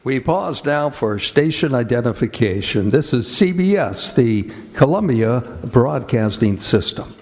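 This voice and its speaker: A man's voice: medium pitch, lively intonation, a little slow, few pauses, darkish tone. A man announcing from a script in old-time radio style. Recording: echoey hall, long reverb.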